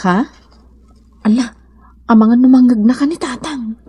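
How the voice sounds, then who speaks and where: A woman's voice: fairly high pitch, normal speed, mellow, breathy edge. A woman with an Ilocano accent playing a reassuring young teacher in love in a radio drama. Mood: modest.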